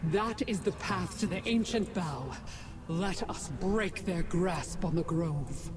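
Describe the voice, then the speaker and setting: An actress playing an ancient, serene female dragon grandmother in a fantasy game, speaking in a measured, solemn way. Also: fairly low pitch. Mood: solemn resolve. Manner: slow, measured, solemn delivery. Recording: game music behind the voice.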